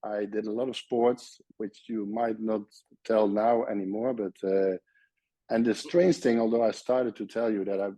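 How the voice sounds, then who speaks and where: A man with a Dutch accent talks in a low, mellow voice, friendly and a little halting as he restarts his sentences in English. The sound is dry and close, with no room around it. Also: fairly even intonation, no echo, rough recording. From Netherlands. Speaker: surgeon guest. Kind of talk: casual interview chat.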